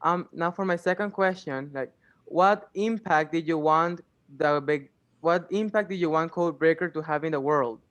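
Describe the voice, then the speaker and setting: A man's voice: a little high, a bit bright. A teenage boy interviewing a game creator for a school documentary, reading questions with hesitant restarts. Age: teens. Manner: hesitant, halting. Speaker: student interviewer.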